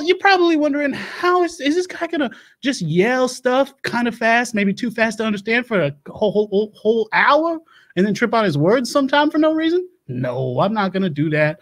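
A man playing a rambling doomsday-prepper host, talking fast, stumbling over words and jumping into loud, high bursts. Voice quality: rapid, stumbling, loud bursts, drawling asides. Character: rambling Louisiana doomsday-prepper man, manic, self-assured. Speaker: livestream show host. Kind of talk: improv character comedy.

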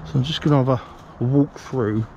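A man's voice: mid-range voice, moderate pace, a little mellow, lo-fi sound, slight background noise. A man giving a casual exploring commentary, musing and putting questions to himself as he goes. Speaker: presenter of a canal exploring series. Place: outdoors by a canal bridge and road.

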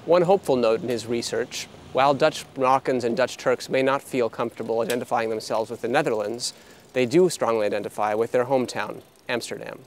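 A male newspaper correspondent reading a scripted news report in a measured, even voice. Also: mid-range voice, slight background noise.

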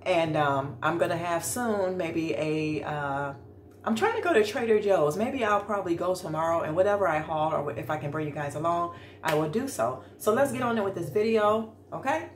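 A woman's voice: fairly low pitch, expressive, normal speed. A middle-aged American woman chatting informally to her viewers in a casual cook-along vlog, recorded in a home kitchen on a camera a short distance away. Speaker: vlog host. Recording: echoey room with background noise.

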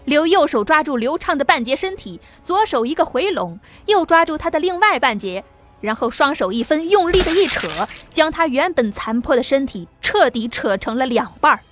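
A woman reading a science fiction novel aloud as an audiobook narrator, in a steady, vivid storytelling manner. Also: high-pitched, moderate pace, soft background music.

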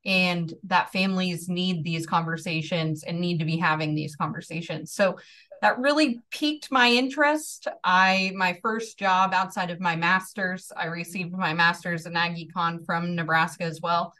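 Woman, 30s, general American accent, earnest, interview guest, lowish voice. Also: moderate pace, bright, forceful tone, distant mic. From Northeast Georgia, USA. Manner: earnest, with frequent ums. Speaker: farm transition specialist guest. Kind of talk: conversational interview storytelling.

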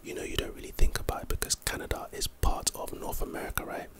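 Male, London accent, whispered, crisp bright sound, casual ASMR commentary, slangy, rambling, playful. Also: quick pace. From London, England. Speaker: host reading and reacting to trivia.

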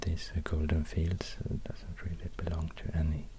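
A man with a Swedish accent murmurs ASMR narration in a low, even near-whisper. His delivery is halting and musing, close to a binaural microphone, with noise and soft clicks audible. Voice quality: hushed, breathy near-whisper. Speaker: ASMR video creator. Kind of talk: soft-spoken ASMR narration while drawing.

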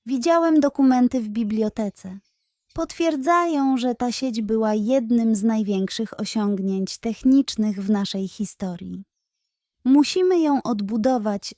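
A woman voicing a wise, reassuring male pig detective in a children's tale, measured and persuasive. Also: fairly high pitch, dark tone, no echo, studio quality. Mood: firm, urgent.

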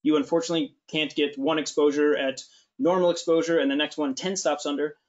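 Relaxed 30s male host, slightly high voice; dull studio sound. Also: brisk. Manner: relaxed, joking, lots of fillers. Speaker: webinar host. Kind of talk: webinar discussion. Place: studio with large screen.

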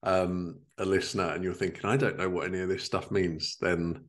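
Male host, thirties, deep voice, casual conversational interviewing from home. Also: flat delivery, brisk. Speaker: podcast host. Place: indoors at home, living room. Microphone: video call.

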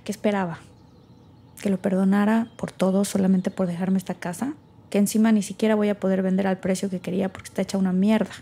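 A woman's voice: mid-range voice, dark tone, husky. A woman with a Mexican accent acting a resentful young woman who hesitantly and sarcastically talks to herself in an audio drama. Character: wry, resentful young woman recording her thoughts alone. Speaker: lead actor in audio drama. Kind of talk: naturalistic audio drama monologue.

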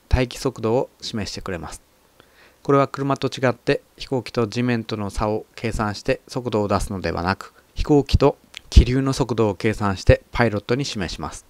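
A man calmly walking through the instruments of a small plane's cockpit in a methodical voice-over. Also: mid-range voice, dry recording. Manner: calm, methodical, explanatory. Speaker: flight instructor explaining cockpit. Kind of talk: explanatory voice-over narration.

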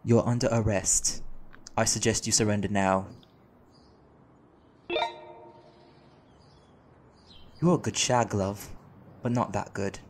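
A man's voice: lowish voice, steady tone, normal speed, a little mellow, slight background noise, close to an everyday voice. A man playing a young student doctor with a secret life as a lawman, in an audio roleplay. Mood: stern, commanding. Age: young adult.

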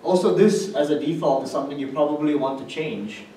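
A man presents technical material to a conference room in an explanatory way. His voice sits at a middle pitch and is picked up from a distance, with very heavy room echo and faint noise behind him. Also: a little fast, warm tone. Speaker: conference speaker. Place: indoor hotel conference room with projector screen. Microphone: distant camera microphone.

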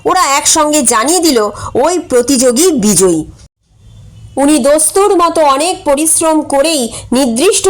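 A woman reading a humorous short story aloud as a scripted narration. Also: sits high.

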